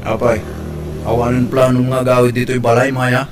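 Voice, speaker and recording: A man's voice: medium pitch, completely flat delivery, moderate pace, a little hiss or hum, natural voice. A man playing a hurt, stubborn husband in a radio drama, speaking in a firm, wounded manner. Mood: defensive. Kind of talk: Ilocano radio drama acting.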